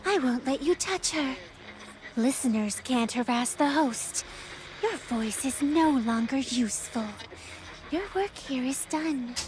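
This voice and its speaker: High-pitched female game character. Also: takes it slowly, background noise. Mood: cold, dismissive. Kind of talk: video game voice acting.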